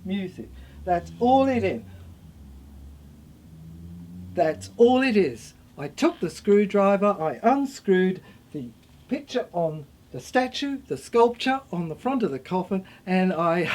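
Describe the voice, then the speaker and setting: A speaker with a medium-pitched voice rambles in an intense monologue. Phrases come in short, repeated fragments with some stammering, and the delivery tends to build in force. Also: sing-song, unhurried, slight background noise. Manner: intense, repetitive, fragmented, building to shouted declarations.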